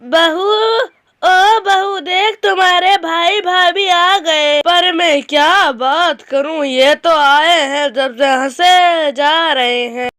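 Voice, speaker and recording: A woman's voice: very high voice, slow, fairly bright tone, dry recording, studio quality, heavily acted. A woman voicing a fussy, scolding bird mother-in-law in a cartoon, complaining and nagging. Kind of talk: exaggerated cartoon dialogue.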